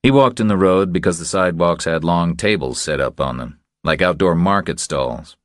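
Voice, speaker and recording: A man's voice: deep voice, normal speed, no room sound. A man reading scripted crime fiction as an audiobook narrator, close on the microphone.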